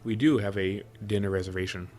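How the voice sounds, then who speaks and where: A man's voice: on the low side, steady tone, fairly quick, darkish tone, faint music behind, natural voice. A man playing an affectionate, playful boyfriend in a roleplay audio drama, talking in a teasing, coaxing way. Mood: excited, secretive. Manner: teasing, chuckles often, coaxing. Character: affectionate playful boyfriend spoiling his sweetheart with surprises.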